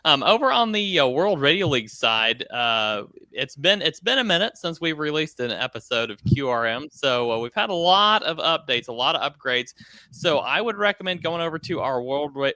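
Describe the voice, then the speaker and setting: A man's voice: medium pitch, bright, forceful tone, no room sound. A man hosting a podcast in a casual, conversational style. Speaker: podcast host.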